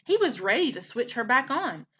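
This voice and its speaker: A woman's voice: a little high, sing-song, moderate pace, bright, forceful tone, slightly rough, highs cut off. A woman narrating a children's storybook expressively, like a teacher reading aloud.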